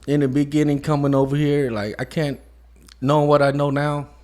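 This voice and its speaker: A man in his thirties from Modesto, California, talking quietly and reflectively as an interview guest and leaning often on "you know". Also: fairly high pitch, level tone, brisk. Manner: soft-spoken, reflective, many "you know" fillers. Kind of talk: casual conversation.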